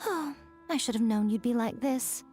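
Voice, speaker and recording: A woman playing a sly, playful fox shrine maiden in a video game, with a smooth, haughty, unhurried delivery. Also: on the high side, darkish tone, natural voice. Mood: teasing, smug. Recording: game music behind the voice.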